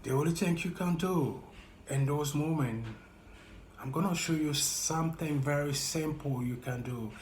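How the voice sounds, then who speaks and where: A man with a French accent speaking earnestly and haltingly to camera as a YouTuber, repeating himself as he reaches out to viewers. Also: fairly high pitch, reverberant, a little hiss or hum. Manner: slow, earnest, halting and repetitive. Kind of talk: heartfelt direct-to-camera talk.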